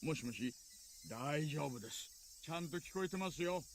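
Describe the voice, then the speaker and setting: A man's voice: on the high side, animated, fast, a little hiss or hum. A man playing a middle-aged police detective who presses and coaxes urgently over the phone, in game voice acting. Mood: pleading.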